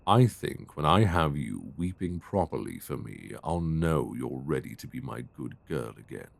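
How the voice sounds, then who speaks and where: A British man playing a stern, possessive, dominant lover in an intimate ASMR roleplay, speaking deliberately and commandingly. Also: extremely low pitch, gravelly, exaggerated voice. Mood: coldly commanding. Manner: slow, deliberate, commanding with soft endearments.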